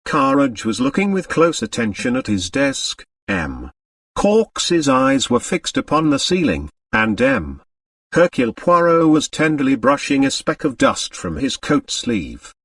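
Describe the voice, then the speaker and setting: A man's voice: mid-range voice, a little slow, no room sound. Male narrator for scripted mystery fiction: dramatic, very varied intonation, dry recording at some distance from the mic. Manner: expressive, dramatic storytelling. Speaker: audiobook narrator.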